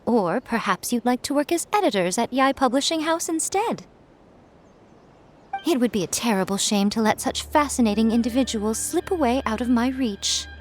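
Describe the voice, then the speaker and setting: A woman's voice: a little high, lively intonation, normal speed, lightly acted. A woman voicing a sly, elegant head priestess in a video game, teasing and poised in a playfully manipulative way. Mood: amused, coaxing. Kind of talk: video game character acting.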